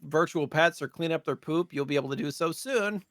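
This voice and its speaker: A man's voice: a little high, fairly quick, fairly bright tone, no room sound, studio quality. A man co-hosting a podcast, chatting casually and jokingly about gaming news. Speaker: podcast co-host.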